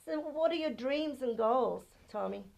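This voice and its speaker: A woman's voice: high voice, expressive, a little slow, creaky, a little mellow. Bubbly woman hosting local TV. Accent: non-American English. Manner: bubbly, gushing, talks to viewers as folks. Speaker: host of a local TV show. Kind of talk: chatty TV interview.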